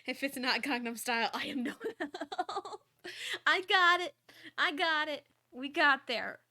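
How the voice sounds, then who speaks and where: A woman livestreamer chatting and reading aloud casually, expressive and exclamatory, often interrupting herself. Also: high-pitched, measured pace. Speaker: streamer reading fanfiction aloud.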